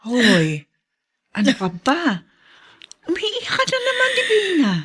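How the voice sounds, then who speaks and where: An actress playing a frank, supportive friend who speaks directly and emphatically in a TV melodrama. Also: female voice, very high voice, wildly swooping pitch, slow, fairly bright tone, full character voice. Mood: chiding. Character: frank, supportive woman friend consoling a mother. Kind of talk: TV melodrama acting.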